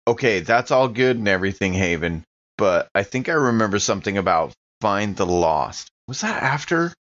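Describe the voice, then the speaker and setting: A man's voice: on the low side, animated, normal speed, fairly bright tone, muffled recording. A man playing a character in a tabletop roleplaying podcast, chatting casually in and out of character. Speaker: player in an actual-play podcast.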